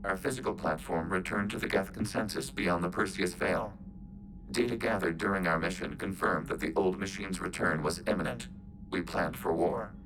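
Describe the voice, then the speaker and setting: An actor playing a calm, logical machine intelligence in a video game, speaking in formal, detached phrases as a collective. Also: male voice, sits low, normal speed, noisy background.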